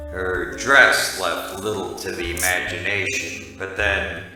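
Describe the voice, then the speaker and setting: Man acting a hardboiled private eye, slow, deadpan, wisecracking, very echoey, music underneath, noir radio comedy. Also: low-pitched, far from the mic, full character voice. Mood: wry, musing. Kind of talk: parody noir radio drama, first-person narration and dialogue.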